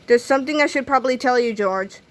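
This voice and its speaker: A woman voicing an anxious, soft-hearted schoolboy, hesitant and pleading, in a children's storybook read-aloud. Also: sits high, fast, natural voice. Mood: nervous.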